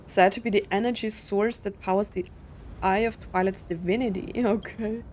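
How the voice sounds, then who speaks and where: A woman doing casual video game commentary, musing out loud and reading on-screen text as she plays. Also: medium pitch, steady tone, normal speed, slight background noise. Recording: game music behind the voice. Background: video game music.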